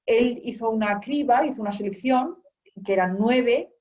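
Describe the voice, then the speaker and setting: A woman guest in an interview, telling a long story in a relaxed, rambling way with many restarts. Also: fairly high pitch, normal speed. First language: Spanish. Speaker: author and guest. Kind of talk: conversational interview. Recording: dull lo-fi sound.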